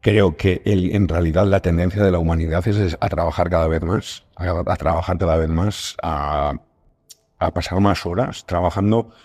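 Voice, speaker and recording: Male guest, Spain accent, deep pitch, casual talk, explanatory, emphatic repetition. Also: steady tone, moderate pace, a little mellow. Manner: explanatory, repeats phrases for emphasis. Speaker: podcast guest, scriptwriter and audio fiction creator. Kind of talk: casual conversation.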